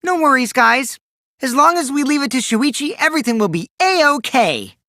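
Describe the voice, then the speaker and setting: A mischievous teenage boy from a video game, with wildly animated pitch and a close, dry sound. He taunts in a childish singsong and swings theatrically between glee and cool, sly scheming. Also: normal speed, no echo, heavily acted. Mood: gleeful. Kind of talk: English video game character voice acting.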